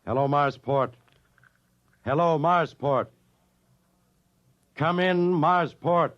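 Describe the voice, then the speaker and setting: Earnest male space captain under strain, slightly high voice, old radio drama, dull vintage sound. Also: takes it slowly, background noise. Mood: urgent, pleading.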